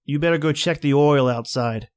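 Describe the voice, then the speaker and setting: A man's voice: fairly high pitch, normal speed, natural voice. An actor playing an edgy, short-tempered man at a remote outpost in an old-time radio drama, with terse, reflective delivery. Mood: irritated. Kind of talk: old-time radio drama acting and first-person narration.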